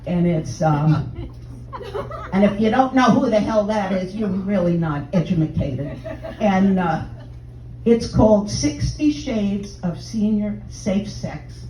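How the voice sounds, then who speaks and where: Older Texan woman, mellow voice, brash bawdy comic heckling the crowd, miked from afar in a dull live room. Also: lively intonation, takes it slowly, a little hiss or hum. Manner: brash, bawdy, chatty sales pitch. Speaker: stand-up comedian in a sex-doctor persona. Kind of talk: stand-up routine, addressing audience members. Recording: roomy, distant, dull live room sound.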